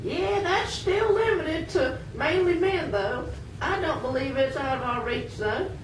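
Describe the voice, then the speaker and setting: Woman acting high-pitched supportive friend, distant and echoey. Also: expressive, measured pace. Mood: encouraging. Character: encouraging woman, supportive friend with modest hopes. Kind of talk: amateur scripted puppet skit. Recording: echoey room, distant mic, faint noise.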